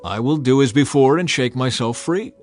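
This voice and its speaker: A man's voice: medium pitch, normal speed, faint music behind, natural voice. Man playing an earnest ancient strongman hero. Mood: confident.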